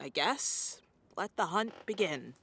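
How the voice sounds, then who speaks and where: A woman's voice: fairly low pitch, expressive, takes it slowly, bright, forceful tone, slight background noise, full character voice. An actress playing a wry, informal, stubborn young woman in an audio drama. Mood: exasperated.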